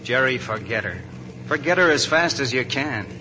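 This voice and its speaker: A young Army officer pleading like a loyal best friend. The pitch is middling and the delivery is earnest 1940s radio acting. A clear drone and hiss sit behind the old recording. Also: male voice, lively intonation, normal speed, bright, forceful tone, rough recording. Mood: earnest, urging.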